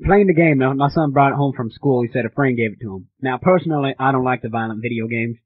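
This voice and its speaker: A man's voice: mid-range voice, fast talker, slightly rough, natural voice. A man playing an indignant, clueless father on a prank phone call, rambling and stammering as he complains. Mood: puzzled complaining. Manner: rambling, stammering, repetitive, easily offended. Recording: tinny phone line.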